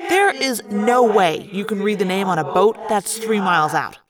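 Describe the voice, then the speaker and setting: A woman's voice: medium pitch, lively intonation, normal speed, crowd noise, close to an everyday voice. A woman voicing a sarcastic teenage boy in an audiobook, melodramatic, mocking and teasing. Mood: skeptical. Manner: exaggerated, mocking. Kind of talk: audiobook character voice.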